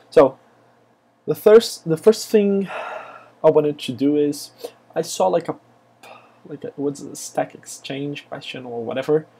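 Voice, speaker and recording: Brazilian-accented male developer, non-native English, screencast tutorial; slow, halting delivery, mellow tone. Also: fairly high pitch. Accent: Brazilian Portuguese. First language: Portuguese. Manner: halting, many fillers and restarts. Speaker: web developer.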